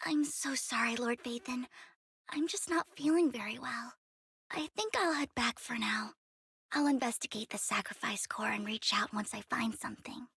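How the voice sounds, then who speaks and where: Casting: devoted young female game character, high-pitched and clear-toned bright delivery, earnest, formal, deferential. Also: moderate pace, dry recording, clean studio sound, exaggerated voice. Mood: subdued, apologetic. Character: devoted young woman, earnest, haunted by a lonely past. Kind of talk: video game voice acting.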